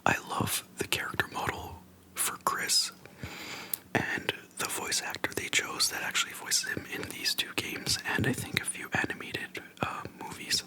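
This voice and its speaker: An ASMR creator speaking in a soft, breathy whisper close to the microphone, chatting casually and slowly in short, gentle phrases with pauses. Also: poor audio.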